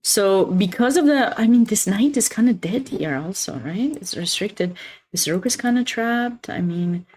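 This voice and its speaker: A woman's voice: medium pitch, lively intonation, unhurried, darkish tone. A woman with a Romanian accent hosting a chess livestream, chatting casually and expressively with viewers between bits of commentary.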